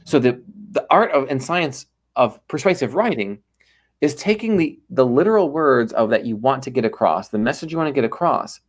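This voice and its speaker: An energetic man talking as an interview guest, his voice at a middle pitch. He speaks with emphasis and acts out short quoted back-and-forths. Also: normal speed. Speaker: ghostwriter guest. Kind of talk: podcast interview.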